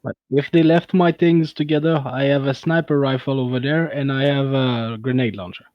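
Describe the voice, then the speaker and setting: A man's voice: medium pitch, steady tone, normal speed, dry recording, highs cut off, lightly acted. A man doing a blunt Russian soldier. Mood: earnest. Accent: put-on Russian. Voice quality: broken, clipped English. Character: earnest Russian soldier man, blunt, mangles English idioms. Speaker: tabletop game player.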